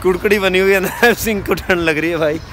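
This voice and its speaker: A man's voice: sits high, fast, over music. A young man talking to viewers in a chatty, upbeat travel-vlog style while holding his phone at arm's length outdoors. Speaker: vlogger. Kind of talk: casual travel vlog narration. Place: outdoors by a roadside, cold evening. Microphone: phone held at arm's length.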